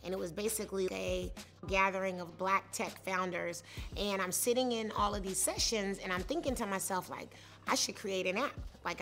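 A woman in her thirties tells how she started her company, with a high-pitched voice. She talks candidly and conversationally, with plenty of fillers. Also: fairly bright tone. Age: 30s. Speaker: startup founder. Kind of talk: personal story told in interview. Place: studio set with leafy wall.

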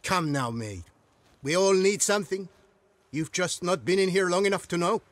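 A man playing a high-pitched, cocky jailbird. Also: normal speed, dry recording, natural voice. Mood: smug, teasing. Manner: theatrical, chatty, swaggering. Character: cocky, roguish male jailbird, self-styled prison king. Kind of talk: video game voice acting.

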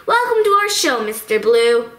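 A woman's voice: fairly high pitch, sing-song, moderate pace, a bit bright. A woman voicing a perky, girlish puppet who hosts a children's talk show in a sweet, eager manner. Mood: perky, curious. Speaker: host. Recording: roomy echo.

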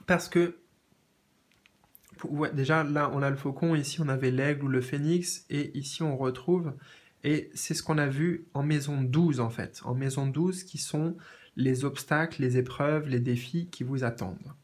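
A man with a cold and a sore throat giving a tarot reading in a gentle, repetitive way with many fillers. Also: a little high, fairly even intonation, normal speed. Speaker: tarot reader. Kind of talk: tarot reading, reading out card meanings. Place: indoors at a card table.

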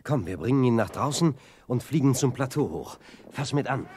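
An actor playing an earnest, decisive young hero in a radio play, explaining and giving directions with urgency. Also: male voice, medium pitch, lively intonation, normal speed, darkish tone, slight background noise, lightly acted. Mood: concerned.

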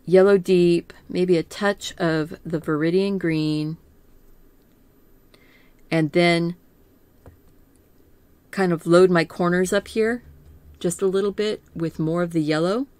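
Slow, soothing woman painting teacher. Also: fairly low pitch, clean tone, dry recording. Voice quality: calm, soothing. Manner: slow, gentle, thinking aloud with small laughs. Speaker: art teacher. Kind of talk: live painting lesson.

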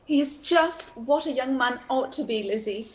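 High, gentle young gentlewoman woman acting. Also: normal speed, close to an everyday voice. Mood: gentle, admiring. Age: young adult. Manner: gentle, earnest. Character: sweet, gentle, trusting young Regency elder sister. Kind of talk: period drama read-through. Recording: faintly noisy video call.

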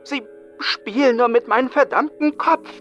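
A man's voice: very high voice, normal speed, a bit bright, lo-fi sound, faint music behind, voice pushed up. Man acting a haunted, frightened young psychiatric patient. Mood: furious. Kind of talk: horror audiobook acting.

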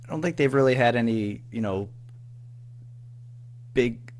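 A man being interviewed in casual chat, speaking haltingly, repeating words and trailing off. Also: medium pitch, a little mellow. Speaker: audio drama writer-producer, interview guest. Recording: faint background noise and hum.

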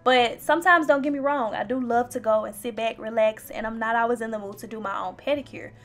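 A woman's voice: on the high side, fairly even intonation, quick pace, a bit bright. Chatty young woman vlogging over music. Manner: chatty, playful, addresses viewers directly. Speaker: beauty vlogger. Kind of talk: casual voice-over narration. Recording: faint background music.

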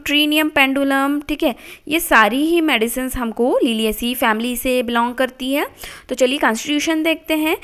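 A woman giving a voice-over lecture on homeopathic medicine, reading English lines slowly from a book and explaining them in Hindi with "theek hai" as a filler. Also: high-pitched, fairly bright tone, clear, resonant tone.

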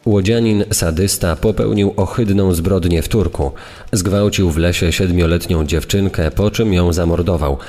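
A man reading old testimony and press reports gravely for a true-crime story, in long reverb. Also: sits low, normal speed, a little mellow, natural voice. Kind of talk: dramatised reading of archive quotes and press reports. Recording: long reverb, faint music.

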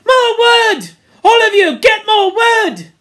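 A man's voice: sits high, sing-song, moderate pace. A man reading aloud and voicing a boy who leads a group of stranded schoolboys, calling out commands and speaking earnestly about rescue and rules. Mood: urgent. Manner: loud, urgent calls.

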